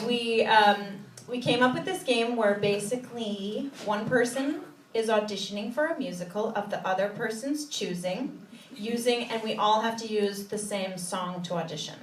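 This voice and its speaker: A woman's voice: medium pitch, normal speed, a little hiss or hum. A woman, a musical theater performer doing playful comic stage banter. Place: indoor cabaret stage before an audience. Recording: echoey live theater, distant, faint crowd.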